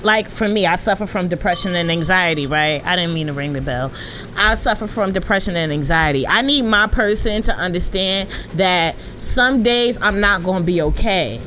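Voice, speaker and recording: A woman's voice: lowish voice, animated, moderate pace, fairly bright tone, slight background noise. A woman in her late twenties talking candidly and emphatically as a podcast co-host in casual conversation. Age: late 20s. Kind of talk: candid casual panel chat.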